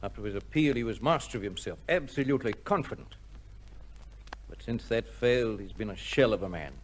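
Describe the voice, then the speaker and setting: An actor playing a grave, courteous prison governor with a deliberate, formal manner in an old-time radio drama. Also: male voice, fairly low pitch, expressive, slight background noise, lightly acted. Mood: troubled, cautious.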